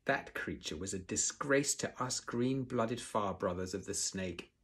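A man's voice: lowish voice, a little slow, fairly bright tone, breathy edge, heavily acted. A man voicing a sneering, contemptuous female dragon in a storybook, haughty in manner.

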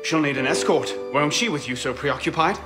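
An actor playing a cocky young rogue in a video game, flippant and sardonic. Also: male voice, fairly high pitch, fairly quick, loud music behind the voice, close to an everyday voice. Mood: wry. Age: young adult. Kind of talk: video game cutscene acting.

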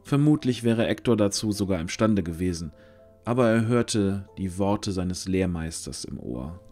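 A man serves as narrator of a scripted fantasy audio drama. His voice sits slightly on the low side, telling the tale over faint music. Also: animated, unhurried, darkish tone.

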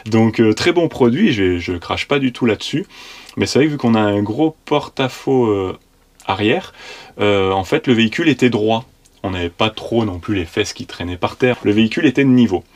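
A man's voice: on the low side, expressive, normal speed. A man in his thirties casually explaining his van conversion from inside the van, hesitant, with many fillers. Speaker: vanlife vlogger.

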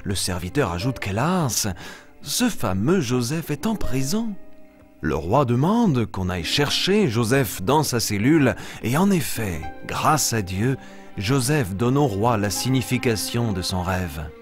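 Eager young lion cub, animated storyteller for a preschool puppet show, music underneath. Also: male voice, mid-range voice, measured pace. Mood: storytelling. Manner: expressive, simple and clear telling. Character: eager young boy lion, cheerful storyteller of Bible tales. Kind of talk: animated storytelling for small children.

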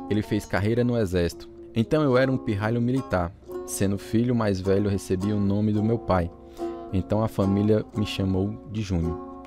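A man's voice: lowish voice, lightly acted. An actor with a Brazilian accent playing a grown man who narrates his troubled childhood in first person. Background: guitar music.

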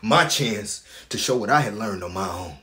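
Deep-voiced wounded son acted by a man, echoey room. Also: normal speed, natural voice. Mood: defiant. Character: wounded, proud grown son confronting his father. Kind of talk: audition stage monologue, emotional acting.

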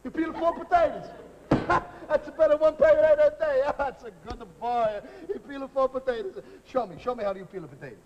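An excitable Italian immigrant cook. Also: male voice, extremely high pitch, moderate pace, dull audio. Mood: jovial. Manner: rambling, excitable broken English. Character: jolly old-country Italian immigrant cook, man. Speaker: comic cook. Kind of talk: live comedy sketch. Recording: live audience laughter, noisy old recording.

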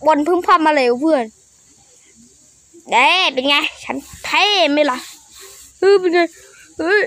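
A child improvising character voices for a cartoon skit, excitable and full of repeated exclamations. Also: sits high, a little slow, no echo. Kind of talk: improvised voicing of characters in a game-animation skit.

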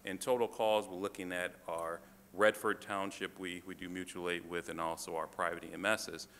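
A man presenting to a city council as a fire department official, halting, with many fillers and restarts. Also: sits low, flat delivery, fairly bright tone. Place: council chamber. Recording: reverberant chamber, faint room noise.

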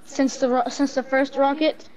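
A woman's voice: high voice, fairly even intonation, normal speed, noisy background. A woman improvising role-play in a game voice chat, speaking a little hesitantly. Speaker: player role-playing a secret agent.

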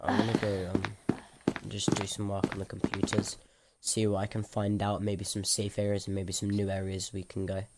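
A man's voice: fairly low pitch, completely flat delivery, moderate pace, very noisy, close to an everyday voice. A man playing a stubborn, hopeful zombie-outbreak survivor in casual, improvised game roleplay. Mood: calm. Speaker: roleplay performer.

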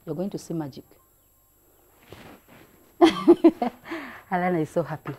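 Low-voiced woman demonstrating crafts. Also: steady tone, measured pace, mellow. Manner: mixes languages, chatty and encouraging. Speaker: craft show host. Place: indoor room at a craft table.

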